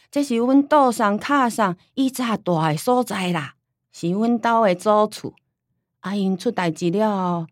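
A woman's voice: medium pitch, dry recording, studio quality, natural voice. A woman acting a stubborn old Taiwanese grandmother. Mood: wistful, reminiscing. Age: older. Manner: rambling, folksy storytelling with sighing interjections. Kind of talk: radio drama acting in Taiwanese Hokkien.

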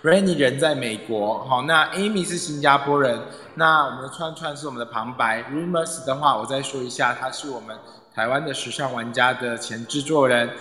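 A man's voice: medium pitch, moderate pace, sharp tone. A man with a Taiwanese Mandarin accent casually hosting a live online audio room, often repeating his phrases as he organizes things. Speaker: club president and host. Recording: echoey, long reverb.

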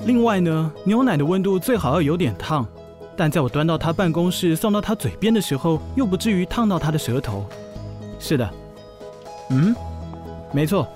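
An eager, jittery young office intern in an audio drama. His voice sits a little high and swings widely. He is fussy and precise, forever reassuring himself, with music underneath. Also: a little mellow. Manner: anxious, precise, self-reassuring. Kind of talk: audio drama monologue and dialogue.

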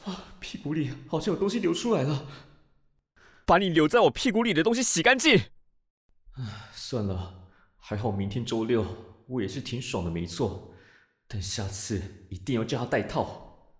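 Blustering, easily flustered cop, swinging wildly. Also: male voice, sits high, animated, brisk, fairly bright tone. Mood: indignant scolding. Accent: Taiwanese Mandarin. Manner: blustering, grumbling, sputtering when flustered. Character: proud, foul-mouthed, easily flustered male police officer. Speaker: voice actor. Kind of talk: audio drama acting.

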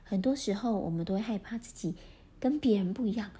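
A woman narrates a children's fairy tale in a gentle, expressive way. Her voice is mellow and soft, with a breathy edge, pitched in a middle range. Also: a little fast. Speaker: storyteller.

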